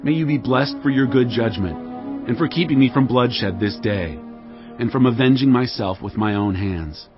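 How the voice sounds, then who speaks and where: An actor playing a fierce, devout warrior leader in a dramatized scripture reading, speaking in a forceful, solemn, declamatory way. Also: male voice, mid-range voice, moderate pace, muffled recording, faint music behind, close to an everyday voice. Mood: grateful, solemn.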